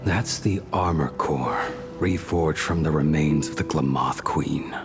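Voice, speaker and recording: A man playing a stoic, world-weary ancient swordsman in a video game, grave and terse, his phrases often sinking into a breathy hush. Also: very deep voice, a little slow, music in the background. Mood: stoic, reserved. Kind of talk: dramatic video game voice acting.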